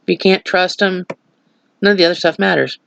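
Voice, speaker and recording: A woman's voice: fairly low pitch, fairly even intonation, brisk, creaky, fairly bright tone, highs cut off. A woman vlogger chatting casually with a stuffy nose, rambling into opinionated asides. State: stuffy nose, slightly nasal. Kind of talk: casual chat.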